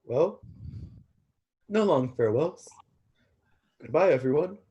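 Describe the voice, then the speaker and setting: Man playing an awkward, husky, animated young god. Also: on the high side, slow pace, dull audio, full character voice. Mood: resigned. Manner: hesitant, earnest. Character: Alexander, awkward, lovestruck, hurt young god. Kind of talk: staged play reading.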